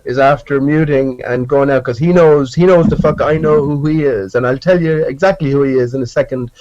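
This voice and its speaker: A man's voice: medium pitch, brisk, background noise. A man speaking casually and insistently as a caller in an online group debate. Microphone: online voice call. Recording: dull, lo-fi voice call.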